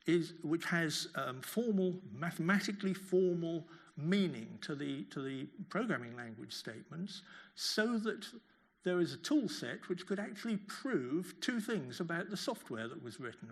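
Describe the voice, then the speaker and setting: Older male academic, creaky voice, halting delivery, answering questions at a lecture. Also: on the high side. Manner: halting, repeats words while phrasing. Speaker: professor giving a lecture.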